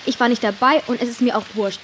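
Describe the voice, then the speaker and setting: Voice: medium pitch, fairly even intonation, fast, a bit bright, a little hiss or hum, close to an everyday voice. A performer playing a cheeky, sarcastic country girl in a children's audio drama, with a wry delivery. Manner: wry, mock-formal at times.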